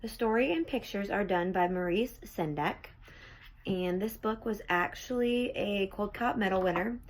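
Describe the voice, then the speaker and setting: Woman doing an expressive read-aloud of a kids picture book, medium pitch. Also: normal speed, poor audio. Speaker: storybook reader.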